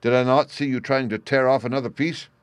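A man's voice: lowish voice, brisk, no room sound, lightly acted. Man acting a stern, outraged older father. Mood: furious accusing. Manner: formal, emphatic, accusing. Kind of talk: audiobook character dialogue.

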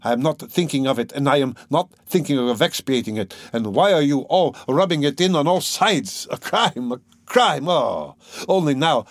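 A man voicing a tormented, exhausted young man, proud and bitter, with a brooding, halting intensity, in an audiobook. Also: mid-range voice, darkish tone, dry recording, studio quality, close to an everyday voice. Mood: bitter fury.

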